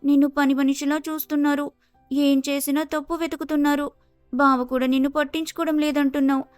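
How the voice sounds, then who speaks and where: A woman's voice: sits high, little pitch movement, fairly quick, no echo, faint music behind, lightly acted. A woman voicing an outspoken, indignant young sister who speaks in a blunt, urging way.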